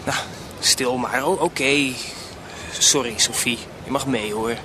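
An actor playing a young adult son in a radio drama, a mocking but good-natured older brother, teasing and offhand. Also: male voice, mid-range voice, fairly even intonation, unhurried, noisy background, natural voice. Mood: grumbling, relenting. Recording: loud music and crowd outdoors.

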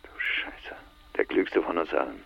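An actor playing a blunt, shaken middle-aged man probing an old friend in naturalistic radio drama, with a gravelly, husky, expressive voice. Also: rumbling low, unhurried, highs cut off, heavily acted.

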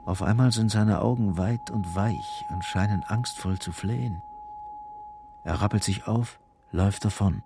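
A man's voice: on the low side, a little slow, background noise. A man giving a grim, intense scripted narration of a crime story in a German radio drama. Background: music.

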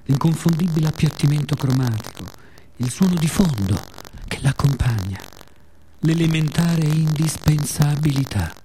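A man performing a poetic, theatrical radio monologue in a measured, solemn manner with dramatic pauses. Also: medium pitch, dark tone. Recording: faint ambient background noise.

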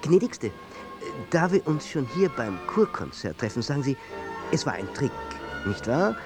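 A teasing, worldly older gentleman in a literary radio play. He is ironic and extremely animated, with creak in his voice. Music plays behind him. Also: medium pitch, unhurried. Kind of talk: theatrical literary audio drama.

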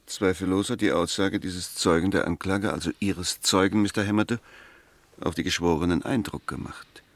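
Sceptical defence lawyer, lower-pitched male, methodical, courteous, argumentative, dry acoustic, sixties German radio drama. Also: steady tone, normal speed, no room sound. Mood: persuasive. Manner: precise, argumentative, rhetorical. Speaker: actor in a radio play.

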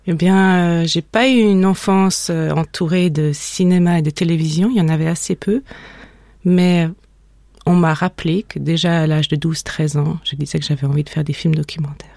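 Calm woman in her thirties, firm and direct. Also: sits low, moderate pace, creaky, no room sound, clean studio sound. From Switzerland and Denmark. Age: 30s. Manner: calm, firm, plain-spoken. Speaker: documentary filmmaker, guest. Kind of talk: radio interview.

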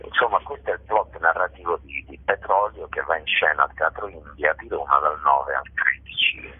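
A southern Italian man with an extremely low, gravelly-rough voice talks like a stage storyteller being interviewed. He is emphatic and circles back on phrases. The sound is narrow and tinny, like a phone or radio line. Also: moderate pace. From Basilicata, southern Italy. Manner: emphatic, repeats phrases for effect. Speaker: theatre author, director and actor. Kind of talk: interview, passionate storytelling about own stage work.

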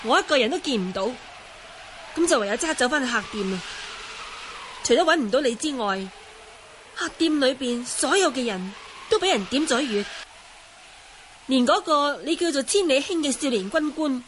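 A woman's voice: high-pitched, animated, moderate pace, pauses often, a bit bright, a little hiss or hum. A woman playing a respectful, earnest young heroine in a Cantonese martial-arts radio drama.

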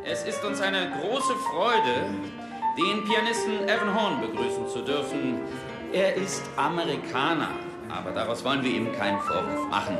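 A man's voice: on the high side, expressive, normal speed, lightly acted. A man playing a genial, joking jazz club announcer introducing an act in a radio play. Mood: genial, formal. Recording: echoey club sound, loud music behind. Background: loud music.

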